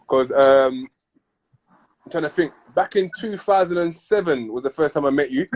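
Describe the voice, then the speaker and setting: A man's voice: fairly high pitch, a little mellow. A man, originally from Congo, hosting a casual interview over a video call, often repeating words as he searches for what to say. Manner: halting, repeats words while searching for phrasing. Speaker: podcast host.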